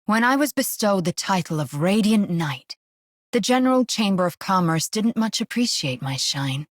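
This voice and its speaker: A woman's voice: mid-range voice, lively intonation, moderate pace, no room sound, close to an everyday voice. An actress voicing a noble, composed female knight in a video game, formal and dignified. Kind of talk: scripted game character voice lines.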